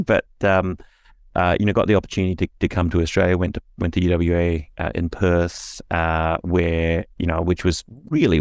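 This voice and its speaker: A man from Singapore talking as an interview guest, halting and self-deprecating, laughing at himself. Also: deep voice, dry recording, clean studio sound. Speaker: podcast guest. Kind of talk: casual interview conversation.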